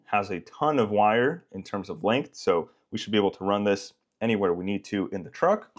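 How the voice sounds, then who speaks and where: A man's voice: on the low side, normal speed, background noise. A man giving a casual, explanatory unboxing narration as a product reviewer, in an informal way.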